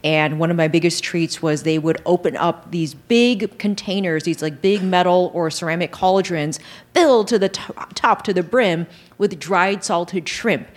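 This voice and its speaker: A woman's voice: on the low side, normal speed. A middle-aged woman co-hosting a casual chat, reminiscing and talking firmly over her partner. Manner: reminiscing, assertive, talks over others. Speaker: co-host.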